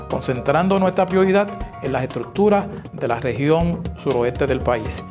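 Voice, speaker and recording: Higher-voiced formal Puerto Rican man over music. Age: middle-aged. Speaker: president of an engineers' association. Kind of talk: scripted formal address. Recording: music playing behind the voice.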